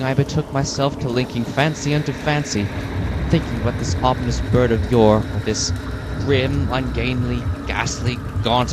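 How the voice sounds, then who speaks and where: Brooding male reader over rain noise. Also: medium pitch. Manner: slow, brooding, theatrical delivery. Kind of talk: dramatic poetry reading. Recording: steady rain noise behind voice.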